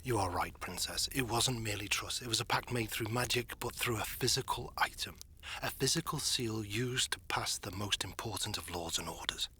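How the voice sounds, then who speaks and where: A man playing a shrewd royal council dignitary in a fantasy audio drama, husky and breathy, with formal, urbane phrasing. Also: lowish voice, normal speed, sharp tone, a little hiss or hum. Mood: grave, explanatory. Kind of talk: fantasy audio roleplay acting.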